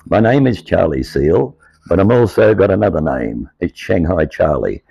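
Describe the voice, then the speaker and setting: An older Australian man with a croaky, aged voice, telling his life story to camera in an earnest, rambling way. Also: deep voice, big pitch swings, moderate pace, dark tone, no echo. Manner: earnest, rambling, grows insistent. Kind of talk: personal monologue to camera.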